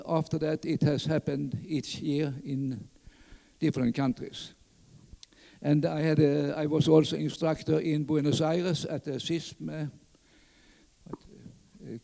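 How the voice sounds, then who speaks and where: Older Swedish man, gravelly voice, halting. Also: on the high side, dark tone. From southern Sweden. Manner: halting, many fillers and restarts. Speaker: conference speaker. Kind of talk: reminiscing conference talk. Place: large conference hall. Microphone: lectern mic. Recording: reverberant hall.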